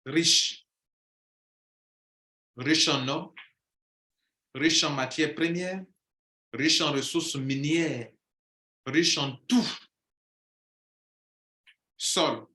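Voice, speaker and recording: A man's voice: on the high side, a bit bright, reverberant. A man speaking as a coach in an impassioned monologue, declaiming and repeating phrases for emphasis.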